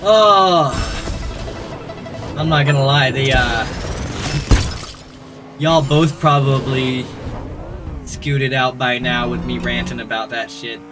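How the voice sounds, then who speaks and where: A man's voice: mid-range voice, fairly even intonation, slow pace, long pauses. Rambling man streaming, music behind. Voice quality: droning when ranting. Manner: rambling ranting with restarts. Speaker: gaming streamer. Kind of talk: casual livestream chat. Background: clear background music.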